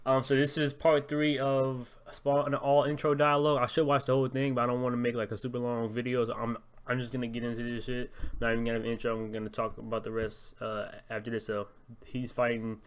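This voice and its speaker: A man's voice: medium pitch, little pitch movement, fast, clean tone. A young man giving casual, slangy, amused commentary as a reaction video host. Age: young adult. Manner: amused, repeats lines he likes. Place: small home room. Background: game audio and music.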